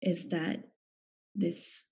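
A Canadian woman, a theatre actor, speaking thoughtfully as a guest in a reflective conversation. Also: warm tone. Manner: thoughtful, measured.

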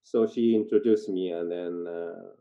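An older man from Japan with a Japanese accent chats casually in halting, informal non-native English, telling stories as an interview guest. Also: sits low, steady tone, creaky, mellow. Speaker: interview guest, performer in dance theater. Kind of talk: casual conversational storytelling. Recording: dull, lo-fi sound.

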